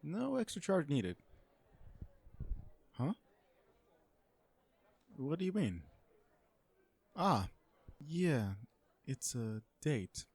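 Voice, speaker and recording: A man playing an awkward, blunt, legal-minded villain on a blind date in an audio roleplay, hesitant and deadpan. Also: fairly high pitch, very slow, creaky, pauses often, husky, exaggerated voice. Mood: defensive, deadpan. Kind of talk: romantic comedy audio roleplay, one side of a dialogue.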